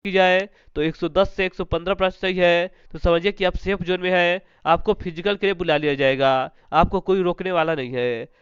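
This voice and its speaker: A man's voice: sits high, a little fast, few pauses, smooth, dry recording, highs cut off. A man presenting information to students as an exam-prep host, in a repetitive, formulaic way, asking questions and answering them himself.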